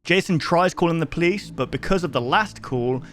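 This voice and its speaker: A man's voice: fairly high pitch, normal speed, fairly bright tone. A man recapping a story in a casual, conversational commentary style, often thinking aloud as he goes. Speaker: host of a horror book review video. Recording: music behind the voice. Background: music.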